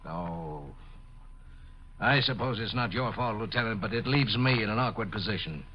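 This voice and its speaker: An actor playing a stern, exasperated military doctor, gruff and officious, in a 1950s radio drama. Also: male voice, lowish voice, expressive, normal speed, lightly acted. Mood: grudging concern. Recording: muffled, noisy old radio recording.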